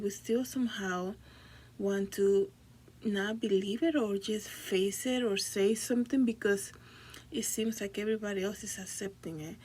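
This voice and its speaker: A woman's voice: mid-range voice, a little mellow, slight background noise, rough recording. A woman from the Dominican Republic talking casually to her viewers as a video blogger, often searching for her words. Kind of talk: casual personal talk to viewers.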